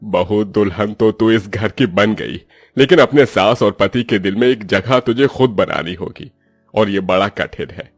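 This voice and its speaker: A man voices an elderly, kindly father-in-law in a cartoon tale. The voice is lowish, slow and solemn, in a dry recording over faint music. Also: no echo. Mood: grave, gentle.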